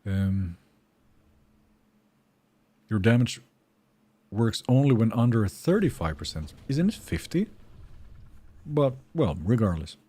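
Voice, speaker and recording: A man's voice: fairly low pitch, lively intonation, slow, long pauses, dark tone, husky, no room sound. A man with a Swedish accent, Finnish by first language, streaming a game and chatting casually, thinking aloud and answering his viewers. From Sweden. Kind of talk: casual live-stream chat while gaming.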